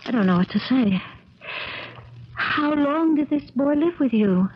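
An actress playing a concerned, loyal niece in a 1970s radio drama, speaking earnestly with careful diction. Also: female voice, high-pitched, animated, measured pace. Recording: dull, crackly 1970s radio recording.

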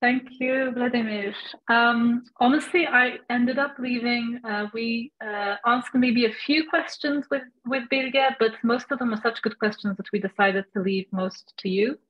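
A woman's voice: on the high side, unhurried, hardly any pauses. A young adult woman informally moderating a webinar Q&A and relaying questions over a video call. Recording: dull, lo-fi video call audio.

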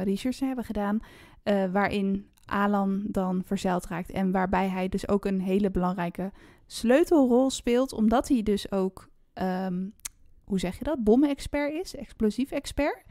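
A woman's voice: mid-range voice, moderate pace, warm tone, no echo, clean studio sound. A woman co-hosting a book podcast, talking about books in casual conversation.

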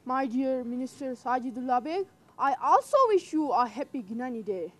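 A teenage girl playing a gracious young king in a school drama, speaking in slow, formal recited lines. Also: high-pitched, animated. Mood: regal. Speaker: student actor. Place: outdoor rooftop terrace in mountains.

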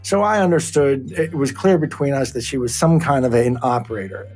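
Man, mid pitch, interview clip, candid and digressive. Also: a little fast, a little mellow, faint music behind. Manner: rambling, blunt, occasionally swearing. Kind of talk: interview excerpt.

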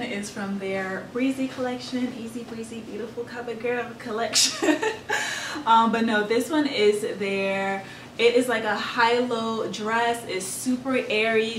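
A woman's voice: fairly high pitch, measured pace. A young woman reviewing clothes in a casual haul video, chatty and upbeat, with frequent "like" and "um". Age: young adult. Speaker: fashion vlogger. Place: bright room with clothing rack, indoors. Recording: roomy, slightly distant, faint room noise.

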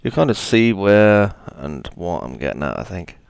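A man with a gravelly, deep voice, chatting his way through a software tutorial with plenty of ums and asides. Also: very animated, normal speed, dry recording. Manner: chatty, self-deprecating, thinks aloud with ums. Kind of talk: screen-recorded software tutorial.

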